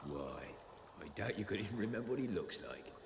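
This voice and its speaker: A gruff, echoing country gamekeeper. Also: male voice, lowish voice, lively intonation, a little fast. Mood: worried scolding. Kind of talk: 1970s British radio drama acting. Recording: echoey, slightly noisy radio play scene.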